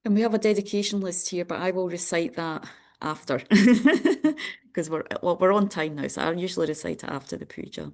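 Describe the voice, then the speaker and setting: A woman's voice: lowish voice, very animated, moderate pace. Woman nun reciting prayers steadily. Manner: friendly, chatty greeting of participants. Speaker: Buddhist nun leading a puja. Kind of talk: reciting prayers and leading an online group.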